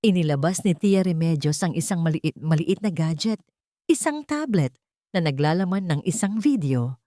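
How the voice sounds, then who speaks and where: A woman's voice: on the low side, animated, moderate pace, dark tone, no room sound, studio quality. A woman narrating a scripted audiobook drama in a dramatic storytelling style.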